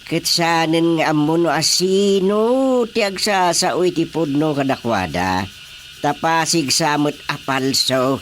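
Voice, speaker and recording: Man playing calm male village chairman, Filipino accent, unhurried, placating, radio drama comedy, clear background noise. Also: on the high side. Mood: concerned, deliberate.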